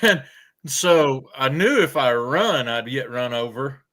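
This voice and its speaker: An older man, telling farm stories in a folksy, unhurried way with frequent pauses. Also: medium pitch, expressive, fairly bright tone. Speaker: storyteller guest. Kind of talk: casual storytelling.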